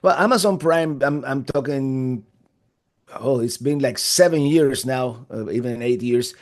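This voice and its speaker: A man in his fifties from Cuba, a guest answering interview questions in a relaxed, rambling way with many restarts. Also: mid-range voice, measured pace.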